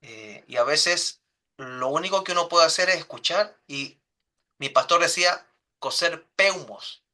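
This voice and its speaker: A pastor leads a church class in a very bright, keen voice that sits a little high for a man. His manner is earnest and pastoral, and he speaks straight to the people in front of him. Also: measured pace.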